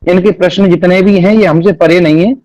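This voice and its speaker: A man gives interview answers on a call in a fairly high voice. He phrases things formally and with measure, using learned religious vocabulary. The recording is close and dry. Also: fairly even intonation, warm tone, no echo, muffled recording. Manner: formal, measured, Sanskritised wording. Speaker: religious singer-storyteller interviewed by phone.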